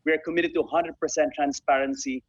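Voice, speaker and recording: A man's voice: on the high side, fairly even intonation, a little fast, no room sound. A man talking enthusiastically as an interview guest, repeating phrases for emphasis. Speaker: guest, bobsled team president. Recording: dull, lo-fi call audio.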